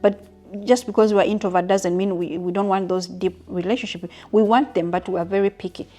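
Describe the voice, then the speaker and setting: A woman's voice: medium pitch, steady tone, brisk, warm tone, faint music behind. A woman in her fifties from Uganda, chatting casually and openly with her viewers in a question-and-answer vlog, often stopping to rephrase. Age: 50s. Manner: chatty, frequent restarts and asides to viewers. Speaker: vlogger.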